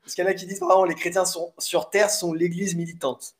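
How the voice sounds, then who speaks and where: Casual man with a fairly high voice. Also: little pitch movement, fast. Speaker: co-host relaying audience chat questions. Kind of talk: casual, joking live chat. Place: indoors, sitting beside co-host.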